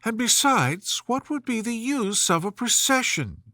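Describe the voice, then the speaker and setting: Man voicing a high-pitched polite young girl. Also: very animated, a little slow, sharp tone, no echo, studio quality, full character voice. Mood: musing. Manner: polite, earnest. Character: polite, timid yet plucky young girl Alice.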